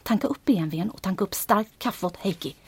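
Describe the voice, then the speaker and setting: An actress playing a commanding resistance captain with a husky voice, giving crisp orders. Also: female voice, medium pitch, expressive.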